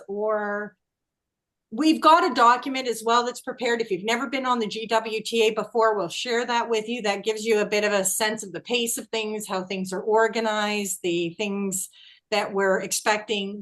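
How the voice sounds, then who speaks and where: A woman hosting a casual group video call as tour organizer, chatty and quick to laugh. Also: fairly high pitch, fairly bright tone. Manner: chatty, laughs often, restarts phrases.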